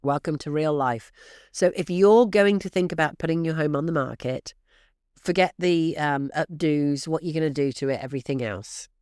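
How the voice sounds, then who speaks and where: A middle-aged woman host coaches her listeners in a brisk, emphatic way, calling them "guys". Her voice is smooth and clear underneath, though stuffy and snuffly from allergies. The recording is close and dry, with no room sound. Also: low-pitched, darkish tone, no echo. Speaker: real estate podcast host. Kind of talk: direct-to-listener podcast advice.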